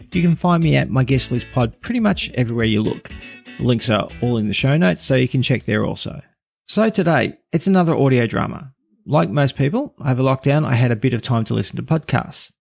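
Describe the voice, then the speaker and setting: A man's voice: medium pitch, lively intonation, a little fast, gravelly, soft background music. A man narrating a scripted review as a podcast host.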